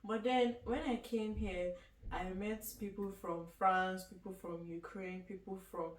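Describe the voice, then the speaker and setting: A woman's voice: mid-range voice, steady tone, measured pace. A young woman with a Nigerian accent, chatting casually as a guest and laughing often as she talks. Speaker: guest student. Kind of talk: casual chat. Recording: echoey room from far off, faint noise.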